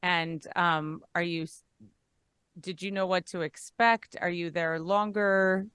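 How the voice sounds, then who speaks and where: A woman cantorial soloist moderating an interview and introducing guests warmly. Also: on the low side, steady tone, slow pace, smooth, no echo.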